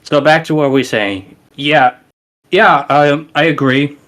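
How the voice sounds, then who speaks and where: A man's voice: on the high side, takes it slowly, a bit bright, rough recording. A man with a Romanian accent, a musician guest on a casual podcast, talking in an emphatic, rambling way with many restarts.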